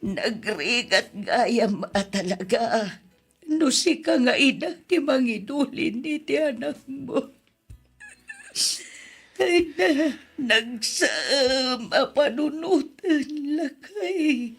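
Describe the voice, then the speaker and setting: A woman's voice: high voice, expressive, drawn-out words, breathy edge, full character voice. A woman playing a grieving, doting elderly grandmother in an Ilocano radio drama, coaxing tenderly and with emotion. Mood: sorrowful. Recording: sentimental music behind the voice.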